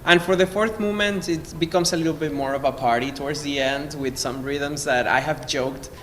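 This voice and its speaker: A man from Venezuela, a cellist, introducing music informally in an effusive, halting way as he searches for words. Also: on the high side, fairly even intonation, a bit bright. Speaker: cellist in a string quartet. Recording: noisy, long hall reverb.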